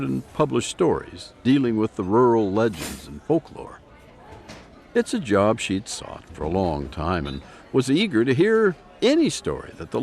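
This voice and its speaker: Gravelly, hugely animated male storyteller. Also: mid-range voice. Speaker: narrator. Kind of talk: scripted storybook-style narration.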